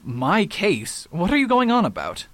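A pompous, high-minded detective, played by a man, lofty and quick to defend himself. His voice sits fairly high and swings widely. It sounds dry and close. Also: wildly swooping pitch, a bit bright, dry recording, heavily acted. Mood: defensive. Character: proud pompous male detective, middle-aged. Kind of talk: radio drama acting.

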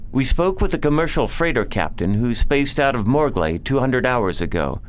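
A man's voice: medium pitch, big pitch swings, normal speed, lightly acted. Male informant, played by a man, sci-fi audio drama: middle register, sober, factual reporting. Slight background noise. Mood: matter-of-fact. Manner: matter-of-fact. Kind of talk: scripted science-fiction audio drama acting.

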